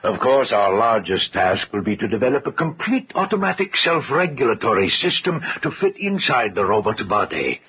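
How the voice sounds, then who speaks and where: A man's voice: lowish voice, sing-song, normal speed, hardly any pauses, slightly rough, exaggerated voice. An actor in an old-time radio drama playing a zealous, visionary scientist and father with a grandiose, lecturing, emphatic delivery. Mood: eager, instructive. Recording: muffled vintage radio recording, music behind.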